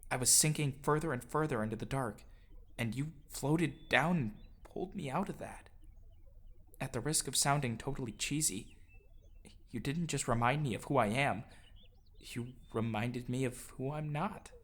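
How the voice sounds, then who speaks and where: A man's voice: mid-range voice, normal speed, fairly bright tone. A man giving an earnest, sincere scripted narration of his own life story in audio drama form. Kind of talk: scripted autobiographical narration with acted dialogue. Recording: music behind the voice. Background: music.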